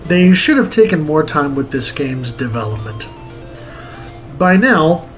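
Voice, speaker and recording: A man hosting a retro game review, reading a wordy script in a measured, presenter-like way. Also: a little high, poor audio. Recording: music bed under the voice. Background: music.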